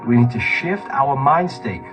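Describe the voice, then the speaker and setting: Male motivational speaker, medium pitch, instructive and emphatic, with prominent music underneath. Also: lively intonation, a little slow. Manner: instructive, emphatic, rhetorical questions. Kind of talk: motivational teaching on mindset. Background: loud music.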